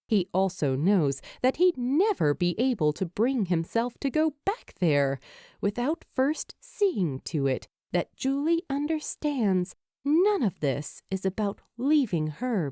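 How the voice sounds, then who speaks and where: Female storyteller, expressive scripted read, warm relaxed timbre, dry close sound. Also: medium pitch, dry recording. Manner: expressive, deliberate reading. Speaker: fan fiction podfic narrator.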